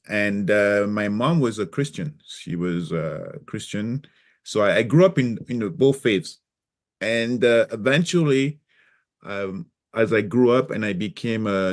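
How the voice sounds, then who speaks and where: Soft-spoken low-voiced man with mixed accent. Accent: mixed French and Cameroonian. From Cameroon. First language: French. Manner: hesitant, many fillers and restarts. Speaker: guest. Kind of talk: casual interview chat.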